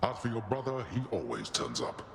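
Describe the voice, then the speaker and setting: An actor playing a solemn, brooding god of the underworld and stern father in a video game, speaking slowly and formally. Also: on the low side, big pitch swings, creaky, a bit bright, slight background noise, heavily acted. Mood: grave. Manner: slow, formal, grave delivery. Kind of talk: video game dramatic acting. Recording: echoey hall reverb with music behind.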